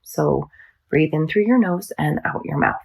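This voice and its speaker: A woman teaching yoga nidra, guiding a meditation in a soothing, measured, instructional manner. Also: sits low, animated, creaky, darkish tone, slightly rough. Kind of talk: guided meditation.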